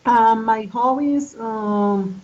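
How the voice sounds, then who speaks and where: Slow, hesitant woman learner, muffled audio. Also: fairly high pitch, darkish tone. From Colombia. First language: Spanish. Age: 30s. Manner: hesitant, halting English with many fillers. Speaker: student. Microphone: video call.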